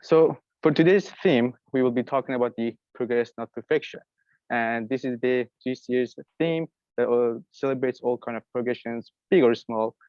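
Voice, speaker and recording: A man's voice: mid-range voice, a little mellow, no room sound. Man presenting, dry muffled sound. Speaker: event facilitator. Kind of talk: prepared presentation. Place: online video call. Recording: dry, dull lo-fi call audio.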